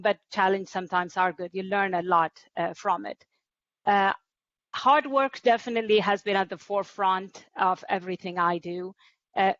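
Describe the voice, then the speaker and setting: A woman's voice: mid-range voice, a bit bright, dry recording. Woman on a panel, earnest, lots of uhs, dry lo-fi sound. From Ethiopia. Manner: earnest, with frequent "uh" pauses. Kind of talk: panel discussion. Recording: dry, dull lo-fi audio.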